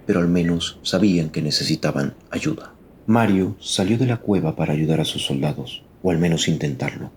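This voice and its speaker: A man's voice: low voice, a little mellow, a little hiss or hum. A man narrating a scripted dramatic story in a solemn, suspenseful manner.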